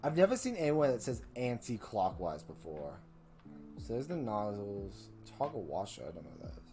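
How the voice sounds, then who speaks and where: Young man, streamer, casual game commentary, rambling, swears, pitch jumps around a lot, game music underneath. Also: huge pitch swings, normal speed. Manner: rambling, swearing, thinks out loud. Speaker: streamer playing a video game.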